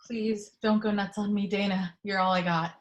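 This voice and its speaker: A woman's voice: mid-range voice, steady tone, normal speed, echoey room, dull audio, natural voice. A woman reading the part of an earnest, level-headed young man in an amateur horror screenplay table read. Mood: urgent, steadying.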